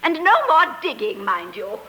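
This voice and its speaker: Bossy wife, 40s radio drama, sarcastic, high-ish and super animated. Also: female voice, very noisy. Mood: bossy, impatient. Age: middle-aged. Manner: domineering, brisk, sarcastic. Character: bossy, scornful middle-aged English wife, nagging. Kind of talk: 1940s radio drama acting. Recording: old 1940s radio broadcast.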